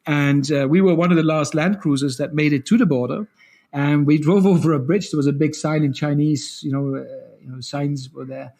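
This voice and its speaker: A middle-aged man with a deeper voice, a guest telling stories in a casual interview. He talks quickly, restarts his sentences often and laughs between stories. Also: steady tone, vocal fry, a little mellow. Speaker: guest, co-founder of a training program.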